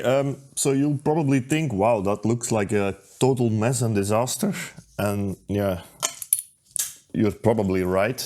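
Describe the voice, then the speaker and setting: A man talking through a hands-on repair at his workbench, unhurried and thinking aloud with plenty of ums and uhs. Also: medium pitch, a little mellow. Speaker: repair video host. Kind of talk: casual how-to narration.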